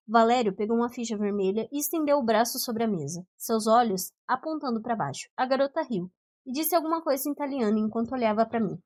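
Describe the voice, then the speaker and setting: A woman with a Brazilian accent narrating first-person fiction from a script as an audiobook reader, with expressive storytelling. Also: fairly high pitch, no echo.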